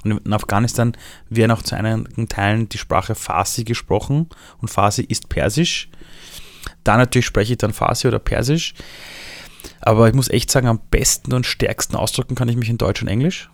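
A man from Iran speaks with a Viennese accent in a relaxed interview. His voice is gravelly and sits fairly low. He tells his story in a lively way, in a dry, close recording. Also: fairly quick, no echo. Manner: lively storytelling, shifts voice for quotes.

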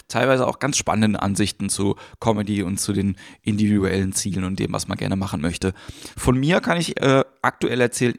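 A man's voice: on the low side, gravelly, no room sound, clean studio sound. A man hosting a podcast, talking in a chatty way with many fillers. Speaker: podcast host. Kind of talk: podcast intro and interviewing.

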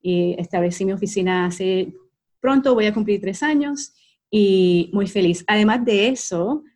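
A Puerto Rican woman in her forties talks as an interview guest. She tells her story in a measured, easy way and draws out the words she wants to stress. Her voice sits at an ordinary pitch for a woman. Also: darkish tone. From Puerto Rico. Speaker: guest, life coach and clinical hypnotist.

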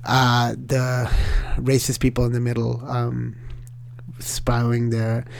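A man's voice: medium pitch, takes it slowly, gravelly, dry recording. Sri Lankan-born man telling a story. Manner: animated, rambling with many fillers and restarts. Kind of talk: casual storytelling.

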